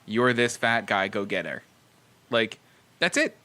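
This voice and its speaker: A man co-hosting a podcast, discussing films casually with an animated, wry delivery. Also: fairly low pitch, unhurried. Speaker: podcast co-host.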